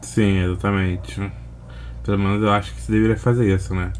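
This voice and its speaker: Low-pitched Brazilian man reacting casually. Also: level tone, a little hiss or hum. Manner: halting, slangy. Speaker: reaction video host.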